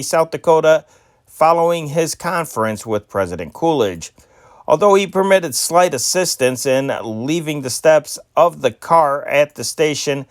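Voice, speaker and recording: A man reading old newspaper stories aloud in a steady amateur style, with small slips and restarts. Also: on the high side, no echo, studio quality. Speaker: newspaper reader.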